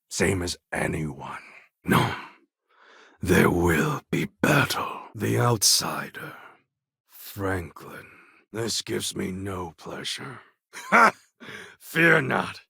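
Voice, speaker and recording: A man's voice: low-pitched, big pitch swings, very slow, pauses often, sharp tone, dry recording, studio quality, full character voice. An actor playing a proud, menacing male warlord in a video game, speaking in a grave, formal and threatening way. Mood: stern. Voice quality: gravelly, husky.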